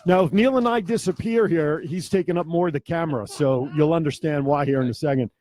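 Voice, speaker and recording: A man with a New Jersey accent co-hosting a talk show in a studio, joking and bantering quickly. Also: a little high, darkish tone. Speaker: co-host. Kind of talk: casual radio chat. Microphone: studio mic.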